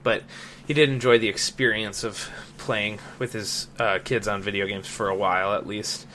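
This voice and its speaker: Male podcast host, late 20s, lowish bright voice, casual conversational delivery, slight background noise. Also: fairly even intonation, moderate pace. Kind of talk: casual chat about gaming.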